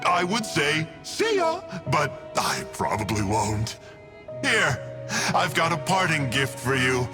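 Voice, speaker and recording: Smug, flippant villain with swooping pitch. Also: male voice, huge pitch swings, slow pace, heavily acted. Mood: breezy, flippant. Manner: breezy, mocking, theatrical, toying with listeners. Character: smug, flippant male villain of a dark order, a scheming schemer. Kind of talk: video game voice acting. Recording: music behind the voice.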